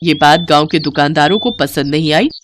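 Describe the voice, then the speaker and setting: A woman's voice: low voice, a little fast, background noise. A woman storyteller reading scripted narration of a moral tale.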